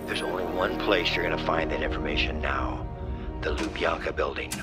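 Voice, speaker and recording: An actor playing a calm, cautious intelligence analyst who speaks matter-of-factly in a game cutscene. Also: male voice, a bass voice, normal speed, over loud music.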